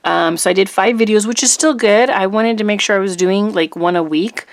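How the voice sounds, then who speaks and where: A middle-aged woman hosting a crafting channel, chatting casually to camera in a rambling way with little laughs and ums, her voice slightly rough from allergies. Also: mid-range voice, a little fast, dry recording.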